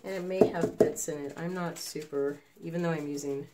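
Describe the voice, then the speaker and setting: A woman's voice: deep voice, steady tone, fairly quick, heavy background noise. A woman with a cold, chatting casually as she demonstrates a paint pour at her home craft table, recorded on an overhead phone. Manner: chatty, self-deprecating asides. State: has a cold, snuffly. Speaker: acrylic pour artist. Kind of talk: casual tutorial chat while working. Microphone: phone camera overhead.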